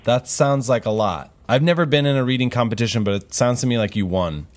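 A man from Houston hosts a casual interview with a child. His voice sits at a medium pitch, and he is chatty and slangy, reacting with exaggerated interest. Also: a little fast. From Houston, Texas. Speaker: interviewer, show host.

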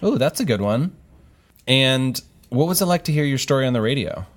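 A man from Houston, Texas, interviewing a child in a friendly, casual way with chatty, animated interest. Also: medium pitch, brisk. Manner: chatty, slangy, reacts with exaggerated interest. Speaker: interviewer, show host.

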